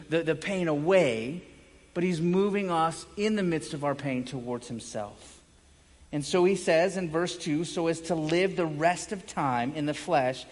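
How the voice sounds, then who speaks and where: High-voiced man preaching in a reverberant hall. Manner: emphatic, with dramatic pauses and swings from loud to hushed. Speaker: pastor preaching. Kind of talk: sermon. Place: large indoor room, preaching to a congregation. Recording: echoey hall with long reverb.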